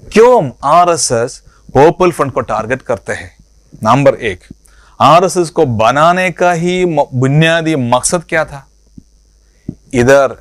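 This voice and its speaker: A man giving a public political speech as an organisation leader, in deliberate broken phrases with emphatic repetition and rhetorical questions. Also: mid-range voice, no echo.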